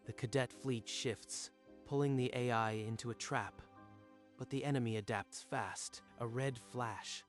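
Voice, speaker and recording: A man's voice: mid-range voice, steady tone, unhurried. A male narrator with a mid-range voice tells a manga dub story. His scripted delivery is dramatic. Faint music plays underneath.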